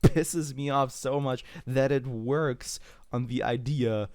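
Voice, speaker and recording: A man's voice: mid-range voice, steady tone, slow, darkish tone. A man chatting casually as a podcast host, emphatic and full of swearing.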